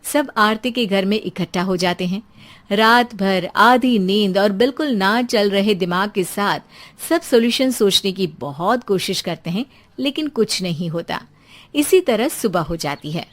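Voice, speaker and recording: Casting: female storyteller, medium pitch, scripted cartoon horror narration. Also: lively intonation, measured pace.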